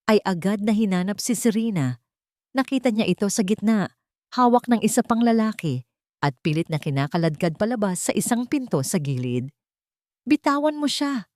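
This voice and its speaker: A woman's voice: mid-range voice, animated, moderate pace, darkish tone, no echo, studio quality. A woman reading a scripted romance story as a dramatic narrator.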